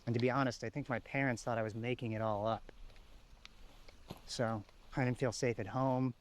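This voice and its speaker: A man's voice: mid-range voice, steady tone, normal speed, a little hiss or hum, natural voice. A man playing a haunted, reflective lone apocalypse survivor, confiding in a plain-spoken found-footage horror monologue. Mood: reflective, wistful.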